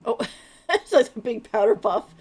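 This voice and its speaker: A woman's voice: on the high side, big pitch swings, a little slow, vocal fry, darkish tone, slightly rough. A woman streaming a game, giving casual live commentary and thinking aloud in a rambling way. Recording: faint game music behind.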